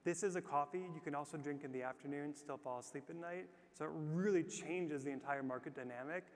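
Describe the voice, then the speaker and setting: A man answering questions as a startup founder after his pitch on a theatre stage. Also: a little fast. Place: large theatre stage before live audience. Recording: long reverberant theatre hall.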